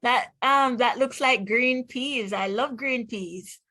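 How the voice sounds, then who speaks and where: A woman reading aloud to young children as a teacher, pronouncing words deliberately one by one and putting questions to the listener. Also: on the high side, sharp tone. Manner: deliberate word-by-word enunciation, asks the listener questions. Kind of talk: read-aloud phonics lesson for children.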